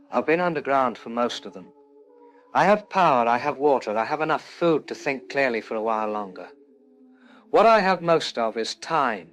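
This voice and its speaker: A man playing a reflective scientist, a lone survivor recording a measured, philosophical log for an audio drama. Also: mid-range voice, a bit bright, close to an everyday voice. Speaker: doctor and researcher.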